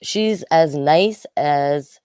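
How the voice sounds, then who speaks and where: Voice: medium pitch, drawn-out words, a little mellow, clean tone, dry recording, clean studio sound. A storyteller voicing a chatty, good-natured schoolboy who is a roving school reporter. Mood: admiring.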